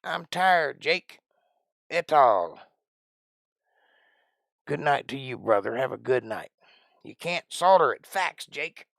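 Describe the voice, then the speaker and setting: A man in his forties talks in a loose, folksy ramble, thinking aloud as he goes. His voice carries a gravelly edge, and the sound is dry and close to the mic. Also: medium pitch, big pitch swings, slow, long pauses, a bit bright, dry recording. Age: 40s. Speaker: live stream host. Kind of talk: casual chat answering live chat.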